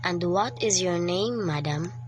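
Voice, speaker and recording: A woman's voice: lowish voice, measured pace, a little hiss or hum, natural voice. A woman playing a formal hospital switchboard operator in a radio thriller, polite, procedural and unruffled. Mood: politely formal.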